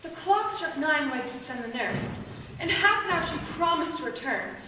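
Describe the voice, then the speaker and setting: A woman's voice: sits high, lively intonation, moderate pace. A woman playing an impatient, lovesick young girl in a Shakespeare play, theatrical, eager and pleading. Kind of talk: Shakespeare stage acting. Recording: echoey hall, distant mic, faint noise.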